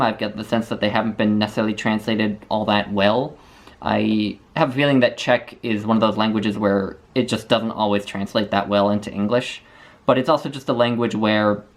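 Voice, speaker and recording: Chatty American man answering viewer questions. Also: medium pitch. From United States. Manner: thoughtful, chatty, with frequent restarts. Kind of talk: casual Q&A monologue.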